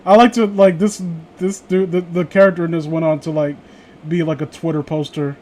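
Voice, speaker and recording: A man's voice: a little high, level tone, brisk, darkish tone. A man hosting a casual comedic read-along, rambling and sarcastic with crude asides. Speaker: host reading horror stories aloud.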